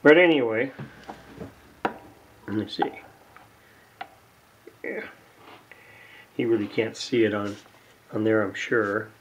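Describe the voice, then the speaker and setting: A man giving unscripted, casual narration of hands-on repair work, halting and muttering to himself as he goes. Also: on the low side, a little hiss or hum, poor audio. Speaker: hobbyist radio repairer, video host. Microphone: camera mic near the workbench.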